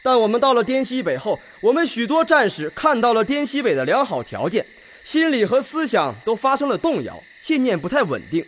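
A man's voice: very high voice, big pitch swings, brisk, a bit bright, a little hiss or hum, heavily acted. An actor playing a stern, earnest Red Army leader exhorting his comrades in an oratorical radio drama style. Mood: earnest, admonishing. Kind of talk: Chinese radio drama acting.

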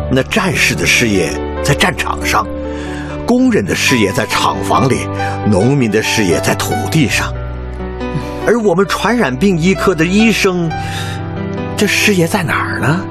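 Earnest older doctor, expressive delivery over music. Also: male voice, mid-range voice. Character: devoted older infectious-disease doctor, earnest, persuasive husband. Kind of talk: Chinese radio drama acting. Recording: music bed behind the voice.

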